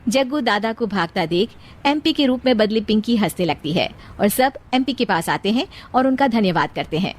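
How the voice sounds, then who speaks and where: A woman's voice: mid-range voice, expressive, moderate pace. A woman delivering scripted narration as the narrator of a moral story.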